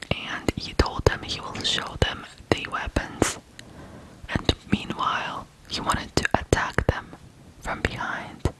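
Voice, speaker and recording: Asmr host from ukraine, all whispers, real slow n deliberate, telling true crime. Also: poor audio. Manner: slow, deliberate, hushed delivery with pauses.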